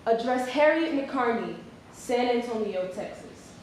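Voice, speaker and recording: A woman's voice: a little high, lively intonation, measured pace, darkish tone. A woman performing a staged dramatic reading of a written letter. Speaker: performer reading historical newspaper ads. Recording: very echoey hall, faint noise.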